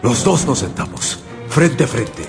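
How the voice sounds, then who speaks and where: An actor playing an anguished man recounting his past in a radio drama, with a dramatic, halting delivery. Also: mid-range voice, sing-song, gravelly, music in the background. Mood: tense recounting. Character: anguished male storyteller recounting his encounter with a monster.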